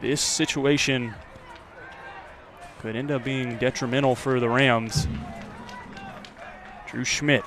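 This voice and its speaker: A man with an American accent giving live radio play-by-play commentary of a baseball game, measured between plays and louder on the action. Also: medium pitch, fairly even intonation, over music.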